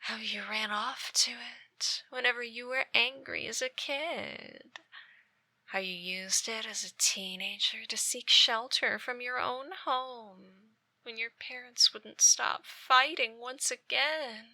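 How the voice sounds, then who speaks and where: A woman playing an obsessive, menacing yandere ex-girlfriend in an ASMR roleplay, breathy and often whispering, with a deliberate, taunting, theatrical delivery. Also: fairly high pitch, steady tone, pushed and bright, no echo, full character voice, poor audio. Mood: tender menace. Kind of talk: ASMR roleplay, dramatic monologue. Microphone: close dry microphone.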